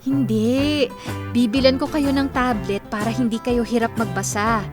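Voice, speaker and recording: An actress playing a gentle, generous young woman writer in a Filipino radio drama, speaking politely. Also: on the high side, steady tone, normal speed, over music, lightly acted. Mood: cheerful, generous.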